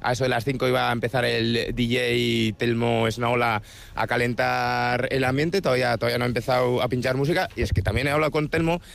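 A man with a Spanish accent from Spain reporting live in a casual, halting way, often repeating himself. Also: medium pitch, steady tone, gravelly, fairly bright tone. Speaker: radio reporter on location. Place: outdoors at a festival site before it starts.

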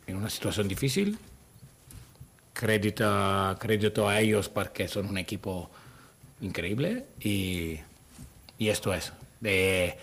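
Italian-accented coach searching for words. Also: male voice, fairly low pitch, vocal fry. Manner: halting, searching for words in a second language. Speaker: basketball coach. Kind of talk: post-game press conference answers. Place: press room.

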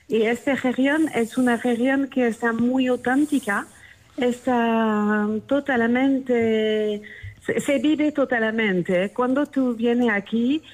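A woman from France answers interview questions in an informative way. Her voice sits on the high side and she speaks very slowly, with a French accent and the halting word searches of a non-native speaker. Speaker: interview guest, tourism office deputy director.

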